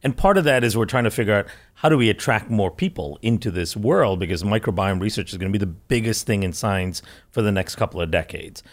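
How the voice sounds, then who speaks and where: A man's voice: fairly low pitch, lively intonation, brisk, few pauses. A middle-aged man, a microbiologist who grew up in Malaysia and India, answering interview questions in an enthusiastic, rambling way. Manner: enthusiastic, rambling, laughs often. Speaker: guest microbiologist.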